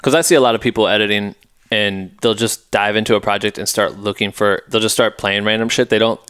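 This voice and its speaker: A man's voice: on the low side, fairly even intonation, fairly quick, a bit bright, smooth, dry recording, studio quality. A man in his thirties hosting a casual podcast on a headset mic, blunt and swearing freely. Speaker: podcast host, filmmaker. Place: small dining room, indoors.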